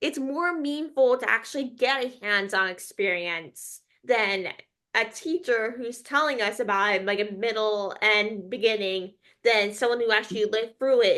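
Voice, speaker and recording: A woman's voice: mid-range voice, a bit bright. A young adult woman hosting a podcast interview, talking casually and ramblingly with frequent fillers like "like". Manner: casual, heavy use of "like", rambling. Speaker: podcast host.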